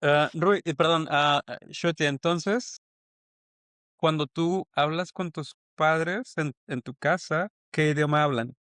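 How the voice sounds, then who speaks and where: A man hosting a podcast, asking quick, short questions to keep the conversation going. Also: a little high, fairly bright tone, no room sound. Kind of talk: casual interviewing.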